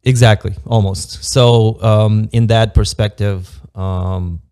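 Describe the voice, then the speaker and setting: A man with a Moldovan accent talks in a very low, gravelly voice with a dark, mellow tone. He chats casually and hesitantly, full of ums and uhs, and laughs easily between thoughts. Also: steady tone, slow. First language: Romanian. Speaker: guest. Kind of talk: casual chat.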